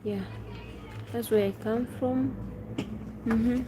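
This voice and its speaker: A woman's voice: a little high, fairly even intonation, takes it slowly, over music. A woman with a Kenyan accent chats casually as a live streamer, musing unhurriedly, repeating phrases and answering viewers. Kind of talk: casual chat, reading and answering viewers' questions. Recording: clearly noisy background.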